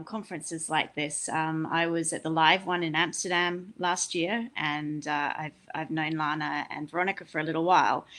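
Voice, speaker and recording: A woman's voice: on the low side, pushed and bright. A woman with an Australian accent, moderating an online panel in a chatty, enthusiastic way over a video call. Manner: chatty, enthusiastic, personal asides.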